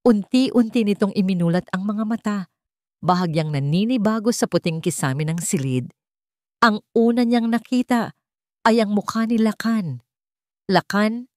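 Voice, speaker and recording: A woman's voice: medium pitch, moderate pace, no echo, clean studio sound. A woman narrating a scripted story in a dramatic, emotive and solemn storytelling manner.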